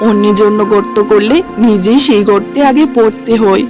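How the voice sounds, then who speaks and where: A woman's voice: medium pitch, fairly even intonation, moderate pace, music in the background, natural voice. A woman voicing a young husband in a cartoon, exasperated and lecturing reproachfully. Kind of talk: cartoon dialogue.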